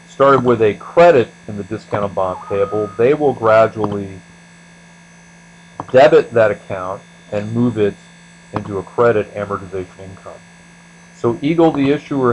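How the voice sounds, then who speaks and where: A man with a fairly low voice teaches in a deliberate, explanatory way, as in a screencast tutorial. His phrases tend to trail off at the ends. A faint electrical hum sits behind the voice. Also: low-quality recording. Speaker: accounting instructor. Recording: steady mains hum behind voice.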